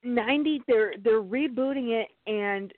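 A woman in casual conversation, speaking haltingly and often restarting or repeating her phrases. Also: fairly high pitch, no room sound. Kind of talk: casual chat. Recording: thin phone-like audio.

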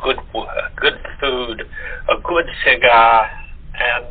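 A man chatting as an interview guest in halting phrases, over a tinny, muffled phone line. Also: medium pitch, fairly even intonation. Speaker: voice actor guest. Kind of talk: casual interview chat.

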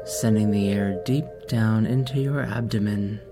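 A man guiding a meditation in a slow, soft, soothing voice with long pauses. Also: on the low side. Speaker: meditation guide. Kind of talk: guided meditation. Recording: music underneath.

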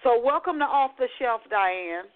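A woman hosting a book talk radio show, chatty and animated, often restarting her sentences. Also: a little high, moderate pace. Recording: tinny phone-line audio.

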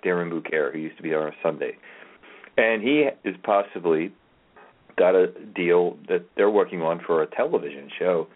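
A man chatting casually on a radio network, rambling and trailing off with hesitations. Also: low voice. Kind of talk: casual chat. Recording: phone-line radio call.

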